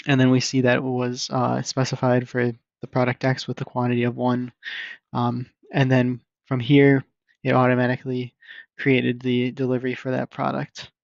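A man presenting a casual screen-share software demo in an informal, explanatory and somewhat hesitant way. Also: medium pitch, monotone, moderate pace, gravelly, dry recording. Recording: dull lo-fi microphone recording.

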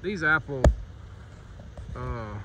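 A man's voice: animated, drawn-out words. A man who drives trucks, talking casually to viewers in a folksy, informal way while filming outdoors on a phone. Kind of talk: casual vlog narration to viewers. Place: outdoors on a roadside at night. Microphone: handheld phone. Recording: clear outdoor vehicle noise behind voice. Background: rumbling truck engine.

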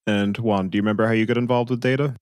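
Male podcast host, low voice, quick delivery, dry close sound. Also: fairly even intonation, clean tone, dry recording. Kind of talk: interview questions.

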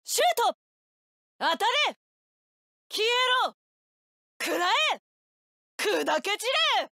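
A woman's voice: extremely high pitch, takes it slowly, gravelly, pushed and bright, no room sound, clean studio sound, exaggerated voice. A woman playing a confident, seductive witch fighter in a video game, delivering short, teasing, haughty taunts and battle cries. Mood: fierce. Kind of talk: Japanese fighting game voice acting. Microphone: close, dry studio voice recording.

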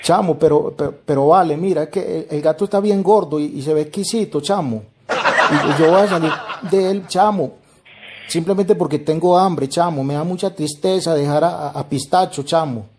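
A man's voice: on the high side, moderate pace, a little mellow. A man with a Venezuelan accent making a prank phone call, pleading hesitantly while playing it straight. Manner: pleading, hesitant, repeats "chamo". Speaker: prank caller, channel host. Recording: very noisy phone prank recording.

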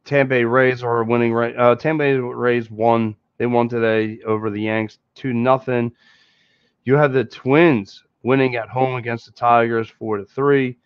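A man's voice: mid-range voice, little pitch movement, unhurried, clear, resonant tone, no echo. A man in his thirties with a Philadelphia accent, hosting a casual postgame sports podcast and rambling with frequent repeats and restarts. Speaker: podcast host. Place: small indoor room in front of a green screen. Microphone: headset mic. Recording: dull lo-fi dry sound.

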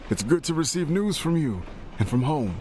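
An actor playing a war-weary soldier and protective older brother, reading a letter in a grave, earnest voice-over for a game. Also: male voice, fairly high pitch, very animated, moderate pace, darkish tone. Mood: weary, affectionate. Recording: music behind the voice. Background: music.

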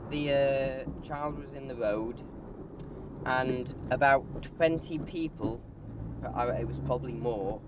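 Slow, halting man over loud car noise. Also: medium pitch. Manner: halting, hesitant, self-correcting. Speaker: vlogger. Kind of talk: casual car vlog monologue while driving. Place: inside a moving car. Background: car and road noise.

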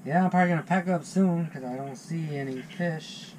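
A man's voice: fairly high pitch, brisk, noisy background. Laid-back man vlogging in an ice shanty. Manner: laid-back, chatty, frequent "dude" and "man". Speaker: fishing vlogger. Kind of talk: casual vlog talk to camera. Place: small pop-up ice fishing shanty on a frozen lake. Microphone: action camera mounted inside the shanty. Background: background music.

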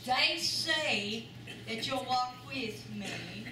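A woman's voice: a little high, expressive, very slow. An older woman gives an earnest, emphatic testimony in a church, repeating phrases for weight. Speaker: church member giving testimony. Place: large church sanctuary with audience. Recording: echoey sanctuary, voice from far off, noisy.

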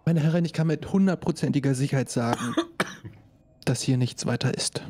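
Mellow, husky male role-player. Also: medium pitch, normal speed, no room sound. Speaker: player in tabletop role-play. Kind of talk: improvised in-character play and casual chat.

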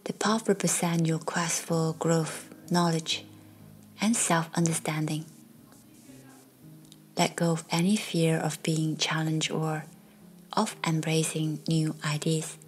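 Slow, low, hushed woman guiding meditation. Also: little pitch movement. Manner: soft, soothing, with long pauses. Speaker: meditation guide. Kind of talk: guided meditation narration. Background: faint music.

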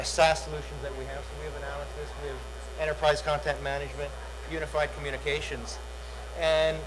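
Middle-aged man demoing software on stage. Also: fairly high pitch, noisy background. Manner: salesy, repeats words, many "uh" fillers. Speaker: product demo presenter. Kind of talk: conference tech demo. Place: large dark conference hall, on stage.

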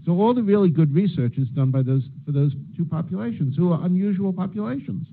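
A man's voice: high voice, expressive, vocal fry. Middle-aged man, tinny panel talk. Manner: halting, with many fillers and restarts. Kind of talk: panel discussion remarks. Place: conference room with a panel table. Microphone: handheld mic. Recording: tinny phone-like sound.

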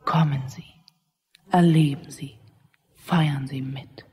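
Promotional announcer, very deep and unhurried, scripted store announcement. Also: female voice, steady tone, a little mellow, husky, exaggerated voice. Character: smooth shopping centre promotional announcer.

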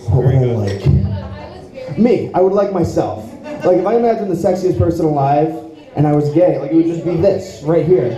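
A man's voice: a little high, dark tone. A young man doing a rambling, self-mocking stand-up comedy set on a small club stage, speaking into a handheld mic. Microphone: handheld mic, heard from the audience. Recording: echoey club with audience noise. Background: audience.

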